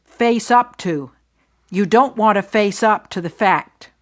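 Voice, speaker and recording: A woman reciting phrases for a language lesson, deliberate and clearly enunciated. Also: mid-range voice. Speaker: phrase reader.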